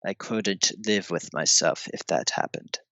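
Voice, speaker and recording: A man's voice: low voice, animated, a little slow, creaky, pushed and bright, exaggerated voice. A man playing a cold, possessive Soviet scientist in a horror audio drama, stern and melodramatic in manner. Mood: stern, condescending.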